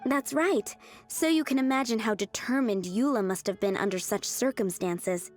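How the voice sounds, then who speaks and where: Woman playing a kindly, high-voiced server. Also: lightly acted. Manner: gentle, measured, polite. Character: kind, earnest young female restaurant server. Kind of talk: video game voice acting.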